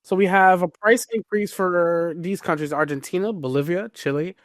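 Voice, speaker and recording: A man's voice: high-pitched, moderate pace, dry recording, clean studio sound. A man hosting a podcast, talking through gaming news casually and emphatically, repeating words to stress a point. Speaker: podcast host.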